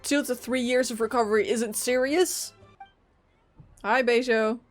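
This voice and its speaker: Higher-voiced woman doing casual game commentary. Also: fairly even intonation, measured pace, faint music behind. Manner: informal, reactive, sweary. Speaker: gamer reacting to a playthrough.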